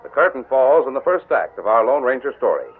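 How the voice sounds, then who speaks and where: A man's voice: medium pitch, sing-song, fast talker. A man narrating a radio drama in a dramatic, announcer-like manner. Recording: narrow old radio recording with music.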